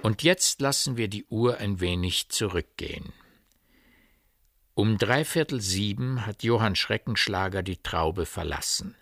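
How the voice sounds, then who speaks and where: A grave narrator tells a dark village story for radio. His voice sits very low, and faint music lies behind it. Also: unhurried. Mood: grave, measured. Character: grave omniscient male narrator of a village mystery. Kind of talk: literary radio drama narration.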